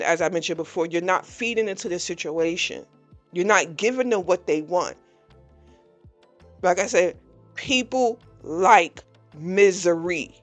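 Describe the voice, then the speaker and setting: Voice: medium pitch, a bit bright. A podcast host in their early forties giving a candid, blunt personal reflection, talking openly about frustration. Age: 40s.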